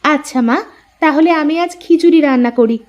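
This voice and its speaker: A woman's voice: high-pitched, a little fast, a little mellow, muffled recording, lightly acted. A woman voicing a dutiful young village daughter-in-law who stands her ground, in a Bengali cartoon story.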